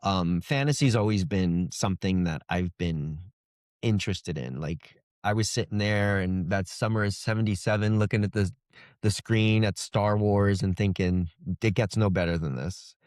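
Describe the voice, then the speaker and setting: Casting: man, middle-aged, slightly deep voice, casual storyteller guest, thoughtful, recorded without room echo. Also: normal speed, a little mellow, studio quality. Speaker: fantasy author, interview guest.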